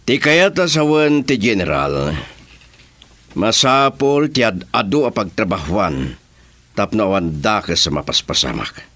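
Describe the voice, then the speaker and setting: An actor playing a sly, scoffing boss who talks business with a crony, in an Ilocano radio drama. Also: male voice, fairly low pitch, big pitch swings, a little slow, fairly bright tone, dry recording, heavily acted.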